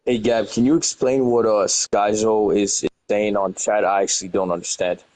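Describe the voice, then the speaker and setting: A man's voice: on the low side, flat delivery, normal speed, a little mellow, rough recording. A man co-commentating a live tournament, casual and friendly, asking his partner questions. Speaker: esports co-commentator.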